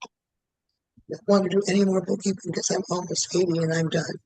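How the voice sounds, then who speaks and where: A man in his late seventies, a client in a tapping session, who repeats one statement over and over in an even rhythm. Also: high-pitched, normal speed, no echo, poor audio. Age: 70s.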